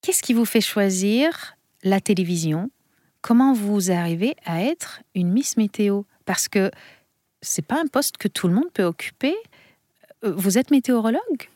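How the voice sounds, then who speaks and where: A woman's voice: mid-range voice, expressive, normal speed, a little mellow, no room sound. A woman hosting a radio interview, asking guiding questions in a polite, complimentary way. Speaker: radio host.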